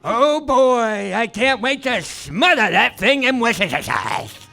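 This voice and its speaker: A man's voice: extremely high pitch, expressive, a little slow, creaky, pushed and bright, soft background music, full character voice. A man playing a folksy, dimwitted old father in a live comedy radio play. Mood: cheerful.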